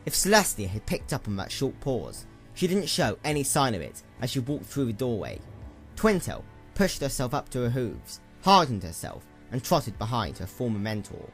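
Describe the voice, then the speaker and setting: A man's voice: mid-range voice, huge pitch swings, normal speed, faint music behind. A man reading scripted fiction as a dramatic story narrator. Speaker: audiobook narrator.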